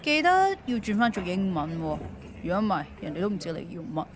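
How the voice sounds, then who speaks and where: A woman playing a blunt, no-nonsense wife in an audio drama, with a Hong Kong Cantonese accent and a brisk, bossy manner. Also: fairly low pitch, expressive, noisy background, natural voice. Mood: brisk, exasperated. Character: blunt, no-nonsense wife who teases her husband. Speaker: supporting actor in an audio drama. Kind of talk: conversational audio drama dialogue.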